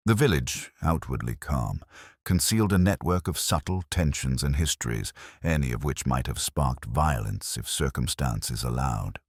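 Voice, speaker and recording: A man narrating a scripted mystery story as an audiobook, in a measured, formal storytelling manner. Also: rumbling low, lively intonation, slightly rough, no room sound, clean studio sound.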